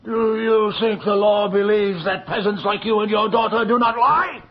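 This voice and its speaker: A man's voice: high-pitched, moderate pace. An actor playing a haughty, domineering magistrate of the king in a radio horror drama, speaking in formal, pompous phrases. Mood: scornful. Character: stern, haughty magistrate of the king, contemptuous of peasants. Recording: dull, noisy old radio recording.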